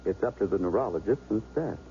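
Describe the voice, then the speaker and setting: An actor playing a calm, matter-of-fact hospital doctor in a 1970s radio drama. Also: male voice, fairly low pitch, quick pace, natural voice. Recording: dull old radio recording with faint hiss.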